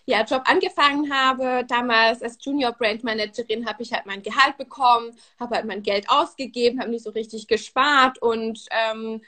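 A woman's voice: fairly high pitch, fairly even intonation, moderate pace, few pauses, pushed and bright. A woman in her twenties from Switzerland with a Swiss German accent, a native speaker of Swiss German and French, chatting as a guest in a live interview with many fillers and restarts. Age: 20s. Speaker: podcast guest.

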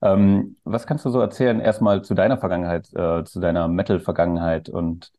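A man's voice: deep voice, flat delivery, fairly quick, dark tone. A young man asking questions in a casual interview.

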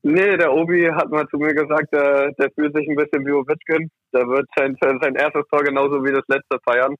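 A young man answering interview questions casually as an ice hockey player, with a somewhat halting delivery. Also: fairly high pitch, little pitch movement, no room sound. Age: young adult. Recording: muffled, dull lo-fi audio.

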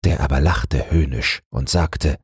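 A man narrating a fairy tale as a bedtime audiobook, in a low, husky, breathy voice. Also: measured pace, no room sound, studio quality.

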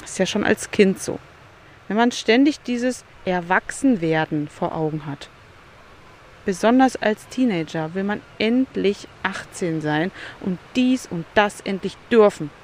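Woman podcaster thinking out loud from a beach chair by the sea, musing, asks herself stuff. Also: mid-range voice, lively intonation, measured pace, a little hiss or hum. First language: German. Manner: musing, self-questioning, candid. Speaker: podcast host. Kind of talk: solo reflective podcast monologue.

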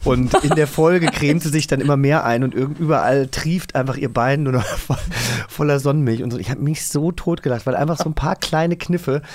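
A man's voice: on the high side, a little fast, a little mellow, no room sound. A man with a German accent hosting a podcast, chatting casually and putting questions to his guest. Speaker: podcast host, interviewer. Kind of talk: casual chat.

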